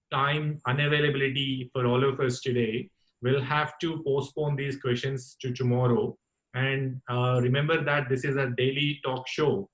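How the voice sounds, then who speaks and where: A man hosting an online call-in talk session, speaking in a courteous, formal and halting way. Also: medium pitch, fairly bright tone, poor audio. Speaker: host of a campus radio talk session. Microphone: online group call.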